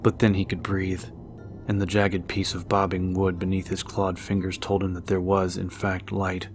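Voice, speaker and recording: A man's voice: sits low, monotone, moderate pace, faint music behind. A man narrating a dramatic story in a scripted audiobook style.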